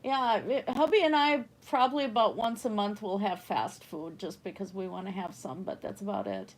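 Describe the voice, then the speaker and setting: A middle-aged woman whose first language was German, hosting a livestream and chatting casually with viewers. She rambles, laughs often and lets her sentences trail off softly. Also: mid-range voice, lively intonation, normal speed, poor audio. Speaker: livestream art host.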